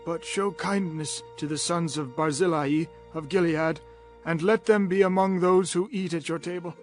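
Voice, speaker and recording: An actor playing a dying old king in a dramatized scripture reading, solemn, deliberate and commanding. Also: on the high side, soft background music, natural voice. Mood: grave, stern.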